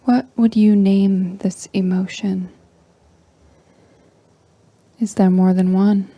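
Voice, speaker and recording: A woman leading a guided meditation in a soft, breathy, near-whispering voice, calm and gentle with long pauses. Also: mid-range voice, no room sound. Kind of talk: slow guided meditation narration.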